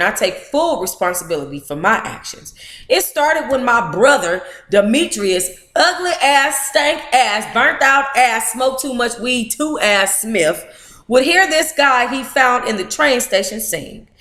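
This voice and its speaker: An American woman reads aloud as a book club host in an echoey room. Her delivery is informal and animated, moving between narration and playful, blunt asides. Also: fairly high pitch, measured pace. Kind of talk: informal read-aloud with candid, profane asides.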